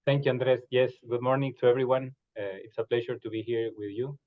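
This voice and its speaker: A man politely greets an online meeting through earphones. His delivery is flat, and the audio sounds tinny like a phone line. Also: medium pitch, brisk. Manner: polite, apologetic.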